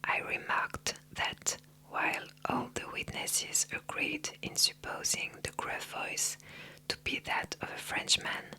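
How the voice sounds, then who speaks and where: Slow close-mic whispering storyteller. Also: rough recording. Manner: slow, soft unvoiced whisper throughout. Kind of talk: whispered ASMR story reading.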